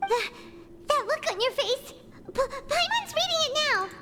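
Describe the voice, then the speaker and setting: A woman voicing a small, childlike fairy companion in a video game, earnest and anxious, squeaky and wide-eyed. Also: big pitch swings, normal speed. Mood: shocked, halting. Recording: game dialogue under loud music.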